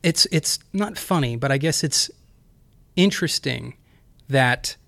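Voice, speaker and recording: A man hosts the talk, hoarse and a little rough after damaging his vocal cords. His voice sits at a middle pitch, recorded close and dry, and he talks in a reflective, questioning way. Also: expressive, measured pace, pushed and bright, no room sound. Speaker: podcast host and physician assistant. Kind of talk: casual podcast conversation.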